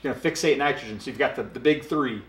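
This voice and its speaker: A man in his thirties casually explaining a product in a dark studio, talking with lots of hand gestures and filler ums. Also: mid-range voice, rapid-fire. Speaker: product expert. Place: dark studio set, indoors. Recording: roomy echo.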